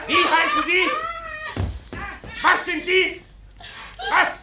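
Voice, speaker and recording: A man's voice: very high-pitched, level tone, takes it slowly, heavy background noise. A man in a German radio drama playing a drunken, jealous young bridegroom who picks fights with barking, repetitive threats. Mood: drunken aggression. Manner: belligerent, repetitive, barking shouts. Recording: roomy, crowded tavern scene.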